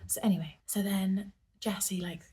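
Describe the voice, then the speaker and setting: A woman in a soft whisper, chatting in a rambling, storytelling way about her work at a dog daycare. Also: medium pitch, steady tone, moderate pace. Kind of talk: whispered ASMR talk.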